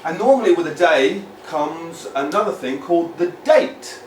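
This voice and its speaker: A British man from the United Kingdom, an English teacher giving a lively lesson to children, repeating words and asking the viewer questions. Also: a little high, normal speed, a little hiss or hum. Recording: echoey room, mic at a distance.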